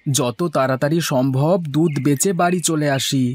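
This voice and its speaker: A man's voice: a little high, moderate pace, close to an everyday voice. A man playing an honest, affable village milkman in a cartoon. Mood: earnest, hurried. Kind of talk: scripted cartoon dialogue.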